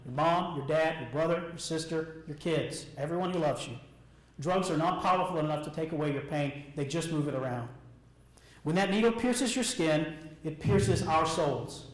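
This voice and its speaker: An American man speaks in a high voice that rings with the echo of the room. He delivers a personal testimony as a prepared speech to an audience. His phrasing is short and deliberate, with earnest emphasis and repetition. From Alabama, United States. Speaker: motivational speaker. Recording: echoey room sound.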